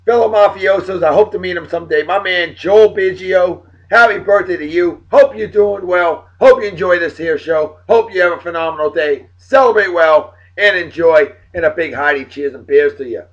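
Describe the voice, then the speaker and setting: A hoarse middle-aged man with a New England accent talks to his viewers in a chatty, hyped-up way, clearing his throat now and then. His voice sits a little high. The recording is muffled and lo-fi. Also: moderate pace, few pauses. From Massachusetts. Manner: upbeat, hype-filled and chatty, with throat clearing. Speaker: video blog host. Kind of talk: casual beer review vlog.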